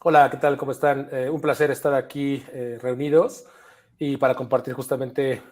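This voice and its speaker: A man's voice: mid-range voice, little pitch movement, normal speed, a little mellow. A man from Mexico City with a Mexican Spanish accent, talking as a podcast guest in a thoughtful way with frequent fillers. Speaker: podcast guest, chapter leader. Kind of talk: casual conversation.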